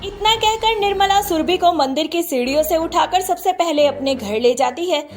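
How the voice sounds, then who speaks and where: A woman narrating a cartoon moral story in a steady storytelling manner. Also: very high voice, normal speed, soft background music.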